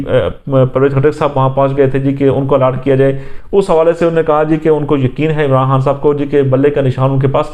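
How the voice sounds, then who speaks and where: A man with a Pakistani accent giving unscripted political news commentary, recounting things in a halting, repetitive way with many fillers. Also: medium pitch, a little mellow.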